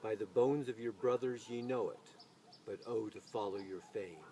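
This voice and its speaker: A man hosting a poetry reading, speaking with deliberate, expressive phrasing. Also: on the low side. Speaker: video channel host reading poetry aloud. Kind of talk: expressive poetry recitation with casual spoken introduction. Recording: distant mic, noise, birdsong ambience.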